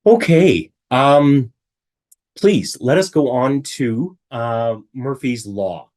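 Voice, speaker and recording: A middle-aged man with a raspy voice from illness, giving a chatty webinar talk from home and often asking rhetorical questions. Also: mid-range voice, extremely slow, darkish tone. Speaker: webinar presenter. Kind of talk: casual presentation.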